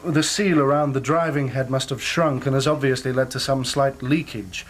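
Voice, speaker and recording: An actor playing an earnest, inquisitive young engineer in a radio drama, with a British accent and a polite, well-spoken manner. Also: male voice, medium pitch, fairly even intonation, normal speed, close to an everyday voice. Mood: businesslike. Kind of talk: radio drama acting and first-person narration.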